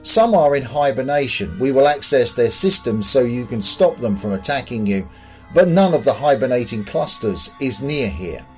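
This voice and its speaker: A man's voice: medium pitch, expressive, normal speed, faint music behind, natural voice, poor audio. A man voicing a detached, curious alien visitor in a story, formal and literal in manner. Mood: literal, precise.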